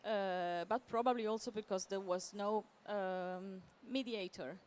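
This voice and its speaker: A woman giving a semi-improvised conference presentation as a literary translator, halting and often repeating words as she searches for phrasing. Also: mid-range voice, fairly even intonation, a little mellow. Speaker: literary translator, panel speaker. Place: live talk at a book fair event. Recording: clear background noise.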